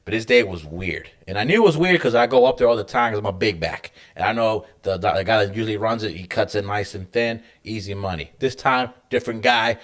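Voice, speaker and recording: A man who works in a hospital, co-hosting a casual podcast and telling a story in animated, profane, slangy language. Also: on the low side, a little fast, few pauses. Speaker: podcast co-host; hospital medical worker.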